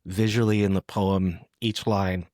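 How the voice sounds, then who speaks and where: A man hosting a poetry podcast, talking and reading in a reflective, halting conversational way. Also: low-pitched, flat delivery, darkish tone, no echo, studio quality. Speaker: podcast host. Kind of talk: conversational poetry interview with read-aloud poems.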